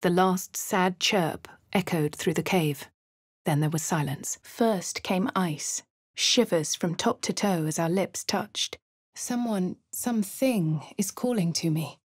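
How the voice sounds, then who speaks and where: Posh female adventurer, crisp English delivery, lowish pitch, dry studio sound. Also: slow, dry recording. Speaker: player character voice lines. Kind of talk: game voice lines and narration.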